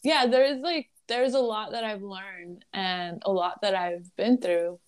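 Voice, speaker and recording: A woman's voice: medium pitch, measured pace, clear, resonant tone. A woman in her twenties with a Lebanese accent, a podcast guest chatting casually and laughing between phrases. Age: 20s. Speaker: guest, content creator. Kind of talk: casual conversational interview.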